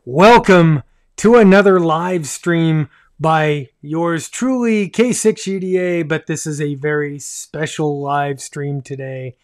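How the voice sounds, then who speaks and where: A man's voice: fairly high pitch, slow. A man in his fifties hosting a casual livestream with enthusiasm, often repeating phrases for emphasis. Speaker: livestream host. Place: small home radio room, indoors.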